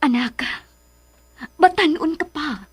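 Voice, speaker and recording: A woman acting a concerned mother in a radio drama, coaxing and gently admonishing. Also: sits high, animated, takes it slowly. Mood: cautioning. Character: concerned, reasoning mother of a teenage girl.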